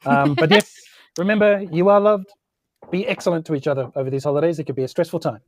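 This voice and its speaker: A man's voice: a little high, quick pace, no echo. A man casually introducing himself as a podcast guest, quirky and self-deprecating. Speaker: podcast guest player, performance capture actor.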